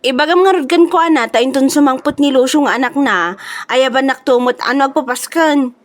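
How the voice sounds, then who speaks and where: A woman's voice: high voice, fairly quick, lightly acted. A woman playing a nosy, envious female neighbour in a comedy audio drama, gossipy and exclamatory, with an Ilocano accent. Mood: eager gossip.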